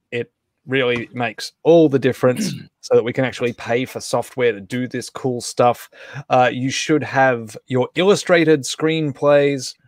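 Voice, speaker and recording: Male live stream host, casual and conversational, mid-pitched, close dry recording. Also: no room sound. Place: indoors at home on a group video call.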